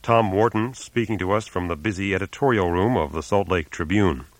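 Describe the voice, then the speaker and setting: A man with a fairly low voice hosts a radio environment program. He reads his script in a measured, announcer-like way and poses careful questions to his guests. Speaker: radio show host and reporter. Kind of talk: scripted radio narration and interview.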